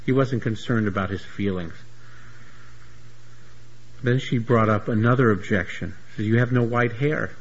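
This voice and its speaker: Husky man giving a Talmud lecture. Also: lowish voice, moderate pace, long pauses, highs cut off. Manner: searching restarts, repeats words while explaining. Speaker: Talmud teacher.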